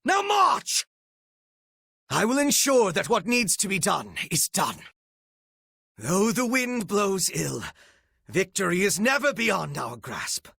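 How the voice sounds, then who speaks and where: An actor playing a cold, menacing and devoted retainer and strategist in a video game, with formal, archaic, theatrical diction and a raspy voice. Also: male voice, sits high, wildly swooping pitch, slow pace, pauses often, pushed and bright, dry recording, studio quality, heavily acted. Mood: rousing battle command, then grim resolve.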